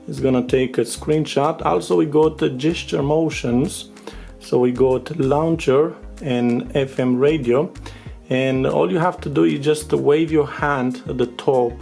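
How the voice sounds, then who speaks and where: A man, a native Romanian speaker, giving a casual, unscripted product overview in English as a tech reviewer, with halting, filler-filled delivery. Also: mid-range voice, vocal fry, dark tone. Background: music with guitar behind the voice.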